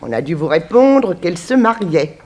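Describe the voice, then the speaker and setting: A woman's voice: lowish voice, big pitch swings, moderate pace, dark tone. An actress playing a haughty, disapproving middle-aged mother-in-law with a pompous, sarcastic delivery in 1960s French radio drama. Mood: icy scorn.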